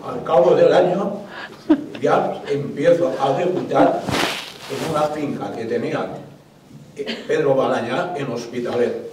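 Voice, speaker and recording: An older man from Barcelona in the audience, reminiscing about his youth in an animated, laughing way. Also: medium pitch, background noise. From Barcelona, Spain. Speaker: audience member. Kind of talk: casual chat, reminiscing. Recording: distant voice in a very echoey room.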